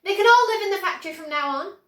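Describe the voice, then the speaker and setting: A woman's voice: very high voice, animated, very fast, a bit bright, echoey room, pitched above the performer's own voice. A woman voicing an eccentric, exuberant old man who owns a chocolate factory, speaking earnestly and emphatically in a storybook reading. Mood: excited.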